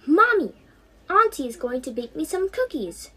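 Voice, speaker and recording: A woman's voice: high voice, very animated, moderate pace, sharp tone, pitched above the performer's own voice, exaggerated voice. A teenage woman voicing an emotional, pleading and exclaiming eleven-year-old girl in a storybook. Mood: excited.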